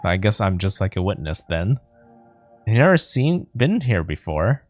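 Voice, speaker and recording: Lively, dry-miked streamer with a low voice. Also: normal speed, no room sound, faint music behind. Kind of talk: casual playthrough commentary.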